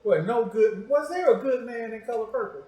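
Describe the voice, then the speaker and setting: A man's voice: very high-pitched, animated, a little fast, dark tone. An American man interviewing as a show host in a casual, joking way, with teasing, provocative, slangy talk. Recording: echoey, reverberant room.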